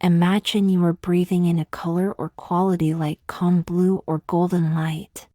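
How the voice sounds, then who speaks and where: Low-pitched woman gently guiding meditation. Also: flat delivery, dry recording, clean studio sound. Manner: slow, gentle, measured phrasing with long pauses. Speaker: meditation guide. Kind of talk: scripted guided meditation.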